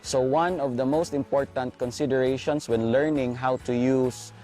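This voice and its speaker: A man's voice: medium pitch, fairly even intonation, normal speed, warm tone, faint music behind. A man with a Filipino accent narrating an instructional video as an art teacher, explaining step by step.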